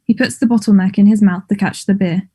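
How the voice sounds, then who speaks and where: Mellow woman reading stage directions. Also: mid-range voice, steady tone, quick pace, husky. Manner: matter-of-fact. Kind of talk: scripted narration of stage directions.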